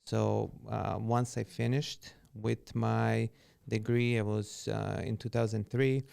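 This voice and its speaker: A man in his forties, from Serbia, talks as a guest in a casual interview. His voice is smooth yet gravelly and warm in tone. He speaks in an unhurried way, filling gaps with little fillers. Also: on the low side. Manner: relaxed, unhurried with frequent fillers. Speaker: podcast guest, real estate agent.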